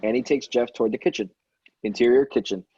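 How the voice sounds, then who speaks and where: A man's voice: mid-range voice, fast talker, dark tone, breathy edge, dry recording, muffled recording. A man reading script stage directions aloud in a plain, matter-of-fact way, with occasional stumbles. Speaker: stage directions reader at a table read.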